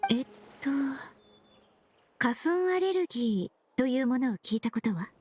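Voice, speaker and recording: A woman's voice: sits high, lively intonation, darkish tone, slight background noise. A woman playing a polite, composed young female perfumer in an anime game, speaking formally and knowledgeably. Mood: calm, explanatory. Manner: courteous, formal, measured. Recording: music behind the voice.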